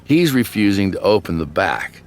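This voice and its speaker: A man's voice: sits low, sing-song, normal speed. A man narrating a true-crime story in a dramatic, suspenseful scripted documentary style. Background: background music.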